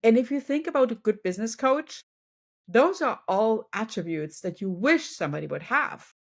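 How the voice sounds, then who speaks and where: A woman's voice: fairly high pitch, expressive, moderate pace. A middle-aged woman from Germany with a German accent, a native German speaker, giving motivational advice in a conversational interview and often checking in with the listener. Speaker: business and wellness coach, podcast guest.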